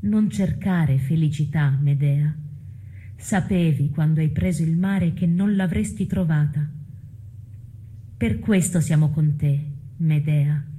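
An actress voicing a consoling, resigned fellow exile in a soft, breathy theatrical address. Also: female voice, deep voice, slow, full character voice. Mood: sombre consoling.

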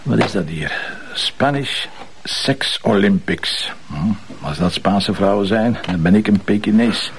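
An actor playing a wry, stubborn police commissioner at home in a crime drama, gravelly, with a Flemish accent. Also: male voice, fairly low pitch, unhurried, noisy background. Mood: wry, grumbling.

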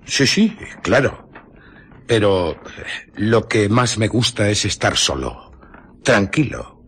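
An actor playing a secretive, bandaged scientist who demands solitude, speaking tersely and imperiously in for a radio drama. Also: male voice, fairly low pitch, very animated, takes it slowly, fairly bright tone, husky, full character voice. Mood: guarded, stern. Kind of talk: Spanish radio drama acting.

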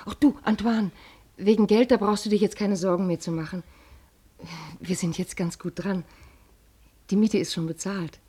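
A woman playing an anxious, devoted working-class mother in a German radio play, speaking tenderly and haltingly. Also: mid-range voice, fairly even intonation, mellow, husky, close to an everyday voice. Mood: concerned, reassuring. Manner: hesitant, tender, halting speech.

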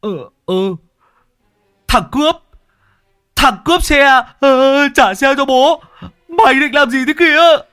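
A man voicing a short-tempered, rough middle-aged laborer who yells and swears. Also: very high voice, slow, pauses often, faint music behind, voice pushed up, full character voice. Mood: panicked. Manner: yelling, swearing.

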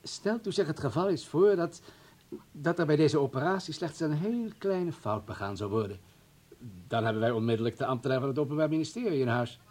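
Husky, insinuating party official. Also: male voice, fairly high pitch, lively intonation, a little fast, a little mellow. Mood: veiled threat. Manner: hesitant mock politeness, veiled threats. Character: insinuating male political official, smooth-mannered and menacing. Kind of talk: radio play acting.

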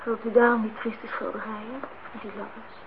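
A woman acting a stubborn young woman in a radio drama. Her voice is clearly high, her replies terse and guarded. The recording is dull and slightly noisy. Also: level tone, fairly quick, close to an everyday voice. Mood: guarded, subdued. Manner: terse, reserved. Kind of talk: radio play dialogue. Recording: muffled, noisy old radio-play recording.

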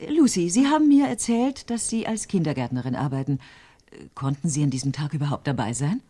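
An actress playing a brisk, probing studio host in a radio drama, with a husky voice. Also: female voice, low-pitched, expressive, mellow.

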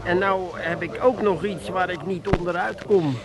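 Broke amsterdam drinker, radio comedy, creaky voice, hems and haws, pub crowd behind. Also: male voice, sits high, normal speed. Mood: sheepish pleading. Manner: hesitant, hemming and hawing. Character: sheepish, broke brother-in-law drinking on credit. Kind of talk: 1980s comic radio play acting. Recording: busy crowd sound behind.

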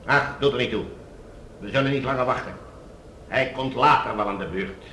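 An actor playing a smug, commanding ruler of a Mars colony in a radio drama, mockingly formal and menacingly polite. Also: male voice, medium pitch, moderate pace, bright, forceful tone, reverberant, slight background noise, lightly acted. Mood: dismissive.

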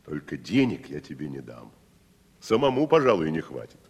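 An actor playing a proud, haughty provincial tragedian, patronizing and theatrical, in a 1970s audio stage drama. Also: male voice, very deep voice, very animated, moderate pace, gravelly, husky, heavily acted. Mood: proud, condescending. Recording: reverberant hall-like studio recording.

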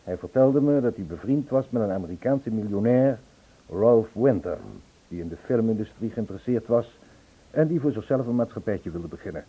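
An actor playing an earnest, self-absorbed, worldly middle-aged composer in a radio drama, on a muffled, tinny old recording. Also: male voice, lowish voice, lively intonation, moderate pace, breathy edge. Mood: earnest, indignant.

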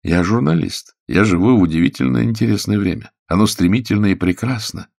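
A man reading an audiobook, voicing a calm, stubborn male journalist with a dry, restrained manner. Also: on the low side, a little fast, gravelly, voice pushed up. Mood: earnest. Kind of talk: audiobook character dialogue.

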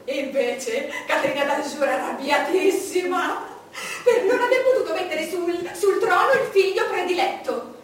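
An actress playing an imperious, vain, solitary English queen in a declamatory stage monologue with dramatic pauses. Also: very high voice, expressive, recorded from a distance. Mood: mocking, scornful. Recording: noisy, echoey stage recording from afar.

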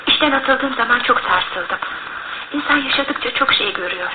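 A woman's voice: on the high side, animated, normal speed, lightly acted. An actress playing a composed, polite, wronged young woman, speaking earnestly and with measure in a radio drama. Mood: earnest, hurt. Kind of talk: Turkish radio theatre acting. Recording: tinny old radio broadcast with clear noise.

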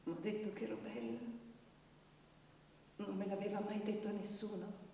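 An actress playing a wounded young woman giving halting, intense testimony about abuse in a theatrical radio drama. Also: medium pitch, little pitch movement, creaky, lightly acted. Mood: pained, hushed. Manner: halting, intense, dropping to hushed tones. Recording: distant, echoey hall with faint noise.

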